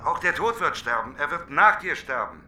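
A man's voice: a little high, big pitch swings, moderate pace, sharp tone, faint music behind, exaggerated voice. An actor playing a desperate, wily man trapped as a reluctant prophet in a radio drama, urgent and pleading. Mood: pleading, bargaining. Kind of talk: literary radio drama acting.

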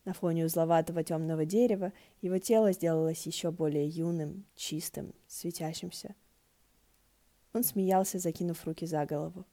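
A woman's voice: on the low side, fairly even intonation, moderate pace, mellow. A woman narrating a story as an audiobook reader. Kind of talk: narrating fiction, acting out dialogue.

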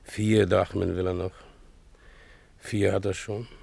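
An actor playing a poor, rough donkey driver in a radio play, servile and plaintive, with a gravelly, hesitant delivery. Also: male voice, deep voice, little pitch movement, takes it slowly, a little mellow, dry recording, full character voice. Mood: gloomy, resigned. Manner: hesitant, stammering deference, plaintive muttering. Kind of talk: German radio play acting with first-person narration.

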